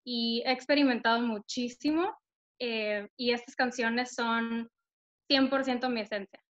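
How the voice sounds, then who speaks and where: A woman's voice: fairly high pitch, fairly even intonation, unhurried, a bit bright, lo-fi sound. Upbeat young woman, northern Mexican accent. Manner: upbeat, chatty, many fillers. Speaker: singer-songwriter guest. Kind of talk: casual interview over video call. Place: bright room at home. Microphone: laptop camera on video call.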